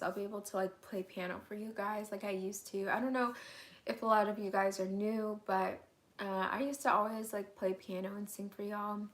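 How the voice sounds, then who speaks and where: A young woman, hoarse and getting over an illness, chatting candidly through a live Q&A at home, answering viewer comments with lots of "um"s and "like"s. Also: medium pitch. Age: young adult. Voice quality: raspy. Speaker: vlogger hosting a live Q&A. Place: at home, indoors.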